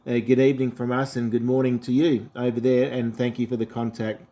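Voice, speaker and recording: A man's voice: medium pitch, level tone, fairly quick, dark tone. Easygoing Australian man on ham radio. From South Australia. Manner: easygoing, chatty, many fillers. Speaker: amateur radio operator.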